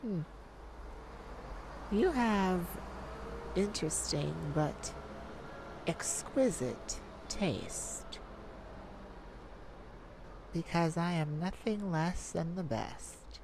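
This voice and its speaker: A woman playing a cocky, flirtatious master thief in an ASMR romance roleplay, with teasing, drawn-out phrasing and dramatic pauses. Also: lowish voice, lively intonation, noisy background, exaggerated voice.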